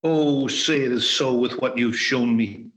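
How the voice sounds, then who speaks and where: A repentant old miser pleads in slow, drawn-out phrases , as a man acts him out over a video call. The voice sits at an ordinary middle pitch and never hurries. It has the earnest feel of an amateur radio play. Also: fairly bright tone, natural voice. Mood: solemn.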